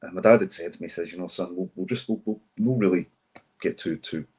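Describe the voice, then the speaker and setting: Scottish male podcast co-host, 40s, low husky voice, hoarse from a cold, quick chatty rambling delivery. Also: a little mellow. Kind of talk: casual chat.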